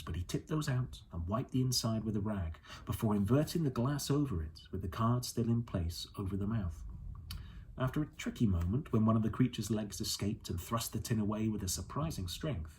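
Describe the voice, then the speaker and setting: A man's voice: on the low side, a little mellow, slight background noise. A man reading a storybook aloud as an audiobook narrator. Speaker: audiobook reader.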